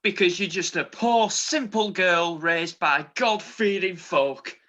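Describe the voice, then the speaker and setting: Performer as a knowing detective, dry wit, bright forward tone. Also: mid-range voice, slow, muffled recording. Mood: wry. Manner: wry, dry. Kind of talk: stage comedy acting.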